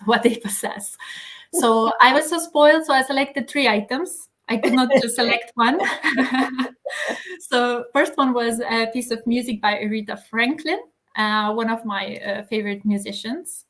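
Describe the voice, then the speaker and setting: A woman from Serbia, speaking English as her second language with a Serbian accent, talking as an interview guest in a measured way with many filler pauses. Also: mid-range voice, fairly bright tone. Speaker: guest, magazine editor. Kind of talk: casual interview chat.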